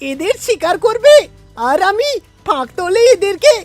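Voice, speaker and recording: A woman's voice: very high voice, big pitch swings, a little slow, heavily acted. A woman voicing a sly, hungry, scheming cartoon fox that mutters and hums to itself in an exaggerated way. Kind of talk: cartoon voice acting. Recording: faint background music.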